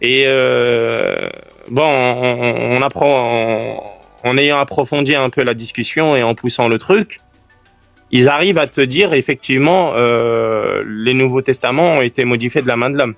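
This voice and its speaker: A man of mid pitch explains his view earnestly and pauses to find the right words. His voice comes through a dry call, with faint music playing underneath. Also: expressive, gravelly, a bit bright, dry recording. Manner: earnest, friendly, searches for words. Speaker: debate guest. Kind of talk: calm religious debate on a live stream. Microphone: remote live-stream call.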